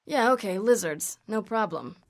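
An actress playing a cocky, sardonic half-vampire heroine in a video game, tossing out sarcastic taunts. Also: female voice, mid-range voice, animated, moderate pace, natural voice. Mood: sarcastic, flippant. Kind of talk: video game cutscene acting.

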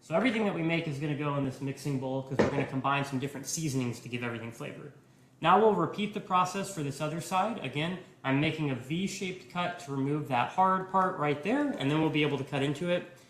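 Young man, cooking instructor, explanatory, slightly high voice, roomy kitchen echo. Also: fast, a little hiss or hum. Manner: explanatory, step-by-step, relaxed. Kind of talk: live cooking demonstration. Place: home kitchen, indoors.

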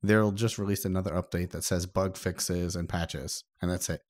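A man's voice: deep voice, steady tone, moderate pace, a little mellow. A man co-hosting a podcast, discussing tech casually in a conversational, explanatory way. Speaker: podcast co-host.

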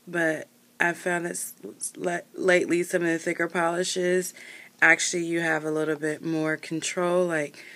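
Woman, 30s, low pitch, hesitant casual reviewer, small room, desk cam. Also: a bit bright. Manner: rambling, hesitant, many fillers and self-corrections. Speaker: nail polish reviewer. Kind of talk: casual product review while painting nails.

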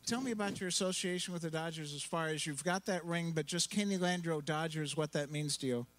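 A man's voice: on the high side, a little fast, fairly bright tone, poor audio. A middle-aged man moderating a live panel on stage, speaking enthusiastically into a handheld microphone. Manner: enthusiastic, playful. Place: indoor event stage.

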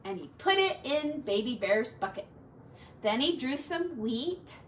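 A middle-aged woman, a teacher reading a picture book to children in an expressive, deliberate way, recorded indoors from a few feet away. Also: high-pitched. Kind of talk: animated children's storybook read-aloud. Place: small home room, indoors. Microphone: camera a few feet away. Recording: distant camera mic, faint background noise.

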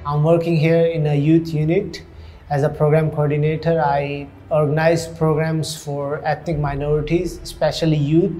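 Earnest man, raised pitch, flat delivery. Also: faint music behind. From Hong Kong. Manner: earnest, halting with frequent uh fillers. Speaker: youth program coordinator. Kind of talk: documentary interview. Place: indoor community centre room.

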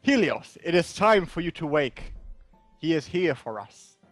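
Brief: man voicing an ominous poetic narrator, slow and dramatic with a swinging pitch. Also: very animated, faint music behind.

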